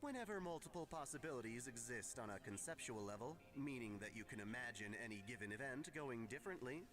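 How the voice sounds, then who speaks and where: A man narrating a scripted lore lesson in a measured, formal lecturing manner. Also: medium pitch. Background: music.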